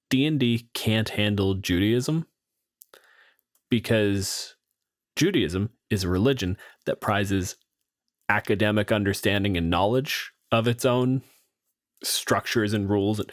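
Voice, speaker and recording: Lowish-voiced man explaining on a podcast. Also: no room sound, clean studio sound. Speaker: podcast host. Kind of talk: analytical podcast discussion.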